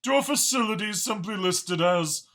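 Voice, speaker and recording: Man voicing a calculating dragon spymaster, lively and bright. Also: high voice, normal speed, pitched above the performer's own voice. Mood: assured, animated. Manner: articulate, formal, matter-of-fact about killing. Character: calculating, mild-mannered male dragon spymaster, ruthless in war. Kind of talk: audiobook character dialogue.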